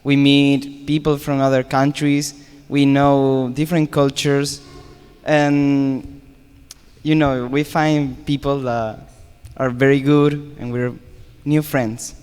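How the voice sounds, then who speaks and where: Flat-voiced teen boy from Spain. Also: medium pitch, takes it slowly, clean tone. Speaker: student. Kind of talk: short spontaneous speech. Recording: reverberant hall.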